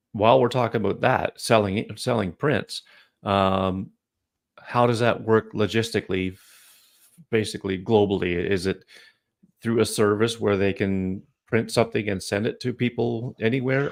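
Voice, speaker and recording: A man's voice: fairly low pitch, fairly even intonation, normal speed, creaky. A man with a Canadian accent hosting a podcast, chatting casually in a friendly, rambling way with many restarts. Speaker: podcast host.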